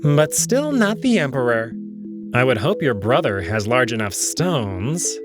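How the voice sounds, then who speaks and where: A man's voice: medium pitch, sing-song, a little slow, music in the background. A man voicing a sardonic jackal palace noble who speaks in an exasperated, pointed way in a visual novel reading. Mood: dry, irritated.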